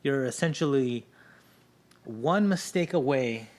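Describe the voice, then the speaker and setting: A man's voice: mid-range voice, expressive, slow pace, a little mellow. Candid man telling stories, keeps asking "right?". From Southern California. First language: Spanish. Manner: candid storytelling, frequent "right?" tag questions. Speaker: guest. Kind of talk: casual podcast conversation.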